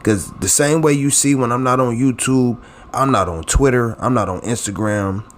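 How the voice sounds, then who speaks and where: A man's voice: mid-range voice, normal speed, a little hiss or hum. A man in his late thirties, a channel host, talking casually and at length to his audience in a rambling, conversational way. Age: late 30s. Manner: rambling and conversational, with many restarts and asides. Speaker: YouTube blogger and channel host. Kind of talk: casual talk straight to camera.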